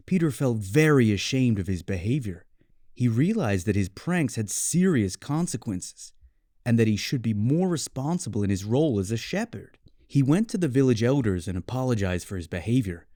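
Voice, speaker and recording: A man with an American accent reading a scripted storybook tale for English learners in clearly phrased, measured storytelling. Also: medium pitch, mellow, no room sound, studio quality. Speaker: story narrator.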